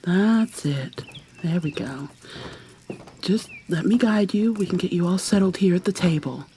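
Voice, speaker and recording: A woman playing a tender, possessive kidnapper in an ASMR roleplay, speaking softly and haltingly, often slipping into a breathy whisper as she coaxes. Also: lowish voice, a little hiss or hum, close to an everyday voice. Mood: coaxing, tender. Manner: coaxing, gentle, halting. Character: tender, possessive female kidnapper doting on her captive lover.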